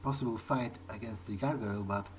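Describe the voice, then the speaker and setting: A man's voice: lowish voice, fairly quick, slight background noise. A man roleplaying in character as he plays a video game, musing to himself in a hesitant, conversational way. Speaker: let's player. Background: game soundtrack music.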